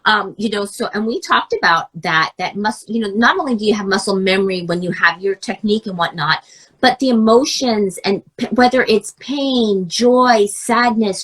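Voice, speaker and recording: A woman hosting a dance podcast, talking casually while nursing a cold that leaves her voice scratchy, and filling in with lots of encouraging "mm-hmm"s. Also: mid-range voice. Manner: warm encouraging backchannels, many fillers. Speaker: podcast host. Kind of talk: casual interview chat.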